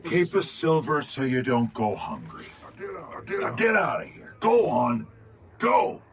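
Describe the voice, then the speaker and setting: An actor playing a desperate, hard-drinking gambler and robber in a video game, rough, pleading and blustering. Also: male voice, mid-range voice, big pitch swings, slow pace, full character voice. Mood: menacing.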